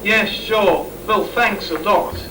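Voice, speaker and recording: A man's voice: sits high, slow. A man playing a resigned business partner sent abroad in an amateur Christmas pantomime. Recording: noisy echoey distant stage recording.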